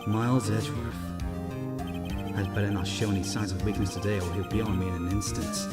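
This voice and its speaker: A man's voice: fairly low pitch, fairly even intonation, fairly quick, lightly acted. A man playing a nervous but determined young defense lawyer with wry asides, in an amateur courtroom game dub recorded with heavy reverb. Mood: uneasy, musing. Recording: echoey, long reverb, music behind.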